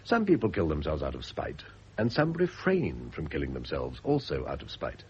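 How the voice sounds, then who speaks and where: An actor playing a cynical, witty, well-off British husband in a radio drama, speaking with urbane irony. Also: male voice, rumbling low, huge pitch swings, moderate pace, a little mellow, breathy edge, exaggerated voice. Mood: cynical, philosophising. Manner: urbane, ironic, epigrammatic. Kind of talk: classic radio drama acting. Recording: muffled, noisy old radio recording.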